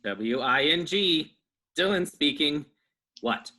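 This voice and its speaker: A woman playing an exasperated, reluctant, deadpan male bird at a radio station in a comedy table read. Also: low voice, slow, exaggerated voice.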